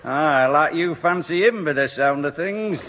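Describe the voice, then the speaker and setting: A man's voice: a little high, animated, a little slow, fairly bright tone. A man playing a dry, grumbling middle-aged father with a northern English accent in a 1960s radio comedy. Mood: dryly amused, grumbling. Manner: dry, joking. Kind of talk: 1960s radio sitcom acting. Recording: noisy old 1960s radio recording.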